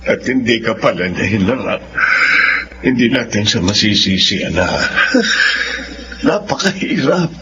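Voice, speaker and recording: A man playing a calm, supportive middle-aged father in a Filipino radio drama. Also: on the low side, measured pace, pauses often. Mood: concerned, calming. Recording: muffled, noisy radio drama audio.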